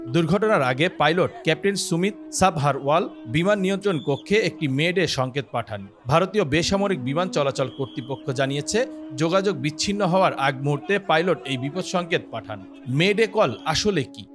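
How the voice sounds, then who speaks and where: A man narrates a scripted news explainer. Clear background music plays under his reading. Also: fairly high pitch, animated, moderate pace. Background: music bed.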